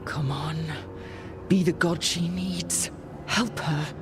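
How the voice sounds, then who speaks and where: An earnest, determined hero in a fantasy game. The voice is mid-pitched and natural, over prominent music. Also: steady tone, slow, creaky. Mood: urgent. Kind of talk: naturalistic video game dialogue.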